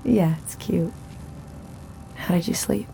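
A woman's voice: lowish voice, slow, vocal fry, warm tone, slight background noise, poor audio. An actress playing a woman operator who works as a sweet, attentive virtual assistant in an audio drama.

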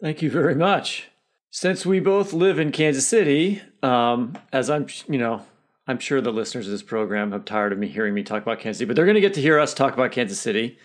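A man's voice: mid-range voice, a little fast. Brief: American male podcast host, mid-range voice, conversational and a little roundabout. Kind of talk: conversational interview questioning.

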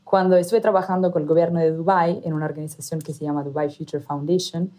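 Italian-accented woman, low mellow voice. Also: fairly even intonation. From Italy. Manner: halting, many hesitations and restarts. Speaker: guest, founder and CEO. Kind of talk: casual interview talk.

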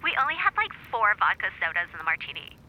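A woman's voice: mid-range voice, sing-song, quick pace, bright, forceful tone, a little hiss or hum, rough recording. Bubbly, swooping young socialite woman. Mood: breezy, gushing. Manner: breezy, slangy, gushing. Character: bubbly, gossipy young socialite woman, pop-culture obsessed. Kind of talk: naturalistic audio drama acting.

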